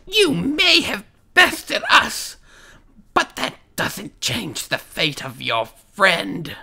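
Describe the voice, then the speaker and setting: A woman's voice: mid-range voice, huge pitch swings, drawn-out words, vocal fry, pushed and bright, slight background noise, exaggerated voice. A woman voicing a wicked, melodramatic evil sister spirit who gloats theatrically in a game parody. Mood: gloating, outraged. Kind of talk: fan-dub game parody acting.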